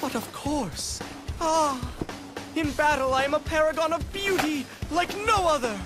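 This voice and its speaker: An actor playing a vain, effeminate warrior in a video game cutscene, theatrical, airy and preening. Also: male voice, extremely high pitch, measured pace, over loud music. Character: vain, flamboyant, effeminate warrior obsessed with beauty.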